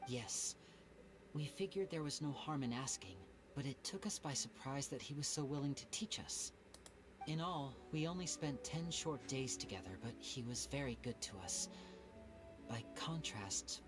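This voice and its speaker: Young magician, a man voicing him, smooth and soft-spoken, middle-range voice, thoughtful delivery. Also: mid-range voice, expressive, normal speed, over music, close to an everyday voice. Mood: confiding. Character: young male stage magician, suave and reflective. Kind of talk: video game voice acting.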